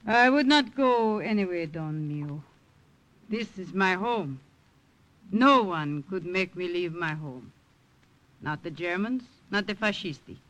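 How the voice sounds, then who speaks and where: A woman's voice: lowish voice, animated, slow, a bit bright, poor audio. An actress playing a doting, steadfast elderly Italian grandmother with an Italian accent in an old-time radio drama. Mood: firm, caring. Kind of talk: 1940s-50s radio drama acting.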